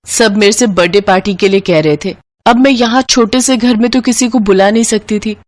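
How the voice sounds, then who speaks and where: A woman's voice: medium pitch, no room sound, natural voice. Woman acting a haughty young rich daughter-in-law. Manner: indignant, disdainful, emphatic. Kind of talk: acted dialogue in a Hindi moral story cartoon. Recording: voice over prominent music.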